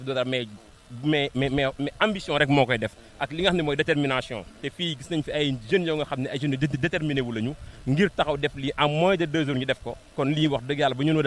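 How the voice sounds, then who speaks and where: Senegalese male, higher register, rapid delivery, exhortative impromptu speaker. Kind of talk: impromptu speech mixing Wolof and French.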